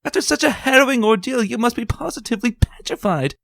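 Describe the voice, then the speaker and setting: A man's voice: extremely high pitch, animated, fast, creaky, fairly bright tone, no echo, voice pushed up, full character voice. A man voicing a fussy, theatrical young woman unicorn who speaks in a dramatic, refined, gushing way.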